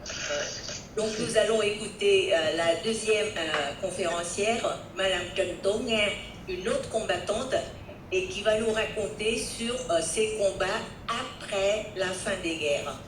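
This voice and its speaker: A woman moderating a conference live, polite and deferential, with frequent hesitations between phrases. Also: takes it slowly. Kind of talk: live introductions and thanks. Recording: echoey, noisy with low rumble.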